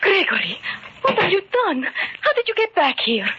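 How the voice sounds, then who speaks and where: High female voice, devoted worried wife, melodramatic, formal phrasing, old radio drama, dull lo-fi sound. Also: wildly swooping pitch, moderate pace, pushed and bright, slight background noise, heavily acted. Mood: troubled, questioning. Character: anxious, devoted immigrant wife, urgent and protective. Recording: muffled vintage radio recording.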